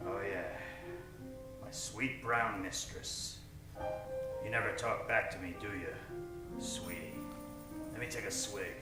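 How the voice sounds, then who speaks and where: Guy acting washed-up hardboiled private eye, boozy, low voice, old radio play style, loud music behind, echoey. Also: takes it slowly, pauses often, distant mic. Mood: boozy, wistful. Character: boozy, down-on-his-luck hardboiled male private detective. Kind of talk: 1940s radio detective parody acting.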